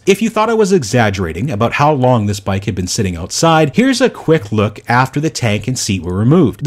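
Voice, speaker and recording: Man, middle-aged, scripted voice-over, wry storyteller, very animated pitch. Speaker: motorcycle restoration YouTuber.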